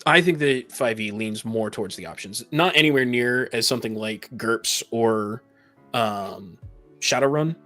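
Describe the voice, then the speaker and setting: Male podcast panelist, mid-pitched, conversational and opinionated, explaining his position over soft background music. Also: measured pace. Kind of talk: casual discussion of tabletop gaming.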